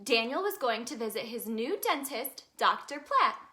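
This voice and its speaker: A woman reading a children's picture book aloud as a storyteller, in an expressive, sing-song manner aimed at young children. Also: fairly high pitch, normal speed. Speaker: storyteller in character as the Tooth Fairy.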